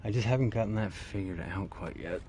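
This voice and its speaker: A man giving an unscripted, casual vlog walkaround, talking in a laid-back, halting, wryly resigned way. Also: lowish voice. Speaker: vlogger showing his own vehicle. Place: in and around an old SUV. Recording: noisy, vehicle ambience.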